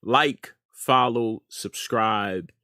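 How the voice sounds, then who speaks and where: A man's voice: lowish voice, extremely slow, pushed and bright, no room sound, clean studio sound. A middle-aged American man hosting an informal opinion commentary, speaking emphatically and repeating phrases for effect. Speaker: host of an online news commentary show.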